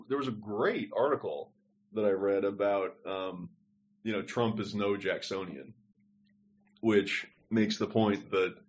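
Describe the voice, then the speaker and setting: Low-voiced man thinking aloud. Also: highs cut off. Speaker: podcast co-host. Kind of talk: casual discussion.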